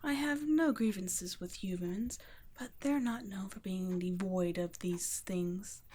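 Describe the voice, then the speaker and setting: A woman playing a gentle, protective vampire caretaker in an intimate ASMR roleplay, speaking softly and breathily, often nearly whispering. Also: medium pitch, slow. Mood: gently firm. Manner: soft, breathy, tender, often dropping to a whisper.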